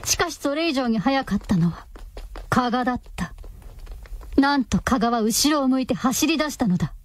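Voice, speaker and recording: A woman's voice: high voice, expressive, normal speed, dry recording. A woman narrator reading a radio drama in a scripted, dramatic storytelling style.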